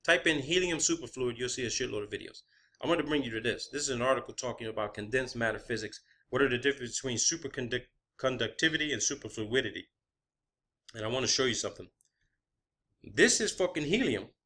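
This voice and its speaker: A man's voice: mid-range voice, animated, a little fast, bright, forceful tone. A man speaking as an online video creator in an impassioned, profane rant, combative and emphatic, repeating phrases to drive points home.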